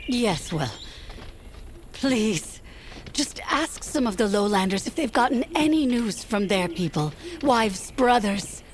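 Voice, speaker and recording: A woman playing an anxious, affectionate highborn aunt in a fantasy audio drama, speaking in formal, old-fashioned phrases. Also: animated, takes it slowly. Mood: anxious, pleading. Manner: formal, archaic phrasing, hesitant.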